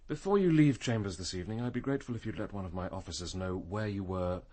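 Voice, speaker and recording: An actor playing a courteous, authoritative senior police detective speaking deliberately in a radio crime drama. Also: male voice, low voice, expressive, dark tone.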